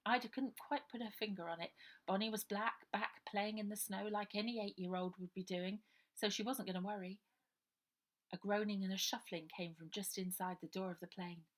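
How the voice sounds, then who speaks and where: A woman's voice: mid-range voice, creaky, a bit bright. A woman reading her children's novel aloud as a dramatic storybook narration.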